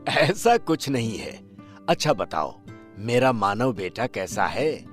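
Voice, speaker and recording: A man voicing a caring old village headman and grandfather in a cartoon story, measured, earnest and expressive. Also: on the low side. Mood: tender, concerned. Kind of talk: Hindi cartoon story voice acting. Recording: faint music behind.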